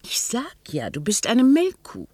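An actress playing a blunt, bossy friend of nearly seventy in a radio drama, with a husky, breathy voice. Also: female voice, fairly high pitch, huge pitch swings, measured pace, darkish tone, dry recording, heavily acted. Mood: scolding. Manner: scolding, lecturing.

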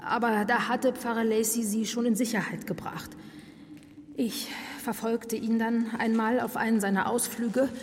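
A woman's voice: on the high side, fairly even intonation, normal speed, slight background noise, natural voice. A woman playing a henchwoman in a radio drama. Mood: matter-of-fact. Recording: reverberant space, faint music behind.

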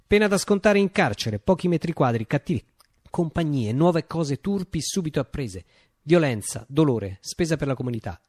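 A man reciting a theatrical monologue for radio, declaiming with dramatic pauses and emphatic phrasing. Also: a little high, very animated, darkish tone, dry recording, studio quality.

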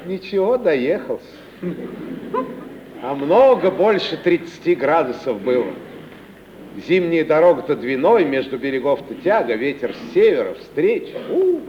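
Muffled vintage radio sound, meek male comic, high voice, animated, plaintive, deferential, theatrical. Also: a little slow. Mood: chatty, rueful. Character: meek, shabby, chatty wandering male comic actor. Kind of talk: theatrical 1950s radio play acting. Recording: dull, faintly noisy 1950s radio recording.

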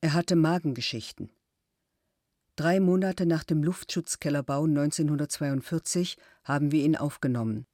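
A loyal witness, read by a man, vouches earnestly for a worker he trusted. The voice is somewhat high and sounds dry and close. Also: a little mellow, no room sound, close to an everyday voice. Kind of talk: reading a witness statement.

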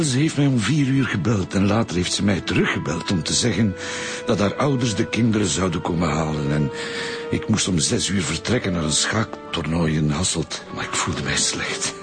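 An uneasy Flemish husband in a radio drama. The man's voice is deep, and he speaks in halting, defensive bursts, often repeating himself. Music is heard clearly behind him. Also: lively intonation. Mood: subdued, anxious. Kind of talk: naturalistic radio drama acting.

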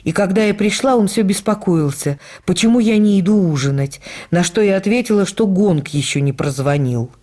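An actress playing a writer's widow, fondly reading her memoir for radio in a measured, reflective storytelling manner. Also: medium pitch, animated, dark tone, close to an everyday voice. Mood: tender, wistful. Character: writer's widowed actress wife fondly recalling their life.

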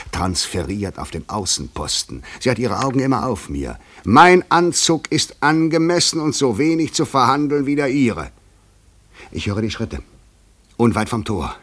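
An actor in a radio play playing a probing, insistent stranger who talks in a theatrical, poetic way, moving between soft and emphatic. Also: male voice, sits low, breathy edge, exaggerated voice. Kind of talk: German radio play acting.

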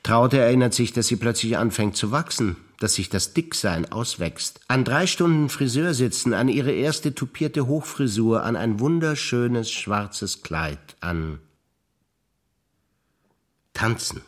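An older man, a stage actor reciting memories in a rhythmic, deliberate listing manner with pauses. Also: mid-range voice, expressive.

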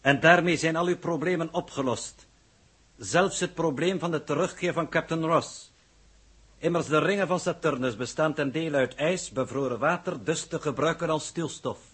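An actor playing a confident male engineer in a 1960s Dutch radio drama, lecturing with deliberate assurance. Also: on the high side, a bit bright, husky. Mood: assured, lecturing. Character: confident male engineer presenting a bold invention.

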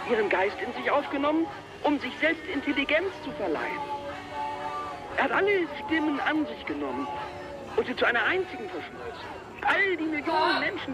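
A man's voice: extremely high pitch, poor audio. An actor playing a haunted, fearful man who believes the wind is hunting him, in a brooding, dramatic German radio play style.

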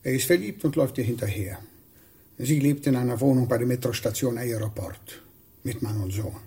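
Calculating KGB officer for radio drama: very husky, mid-pitched, ruthless. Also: male voice, fairly quick, mellow. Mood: cold, menacing. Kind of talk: German radio drama acting.

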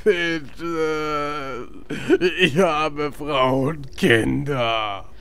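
Casting: cowardly orc prisoner, raised creaky voice, begging pitifully in fantasy parody radio play. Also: male voice, on the high side, pushed and bright, heavily acted. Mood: pleading. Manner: whimpering, slow pleading. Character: pitiful, pleading captive orc guard. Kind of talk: parody audio drama acting.

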